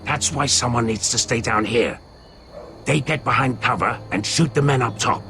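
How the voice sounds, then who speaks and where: An actor playing a blunt, foul-mouthed mercenary leader in a video game, with a gruff, commanding delivery and a gravelly voice. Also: male voice, mid-range voice, normal speed, sharp tone. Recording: music behind the voice.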